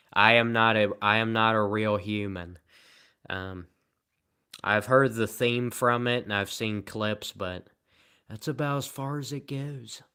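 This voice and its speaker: A tired man live streaming, rambling in a sarcastic, self-mocking way as he answers viewer comments at low energy. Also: pushed and bright, clean tone.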